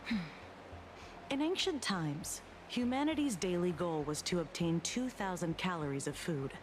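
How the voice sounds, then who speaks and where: A woman playing a philosophical, inquisitive character in a video game, speaking in a measured, musing way. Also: lowish voice. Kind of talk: dramatic video game voice acting. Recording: clear background ambient noise.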